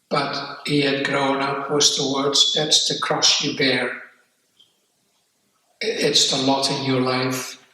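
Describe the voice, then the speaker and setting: An older man from the Netherlands with a Dutch accent, slowly telling his life story in an interview, reflective and halting. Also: medium pitch, pushed and bright. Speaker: guest. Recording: echoey room, far from mic.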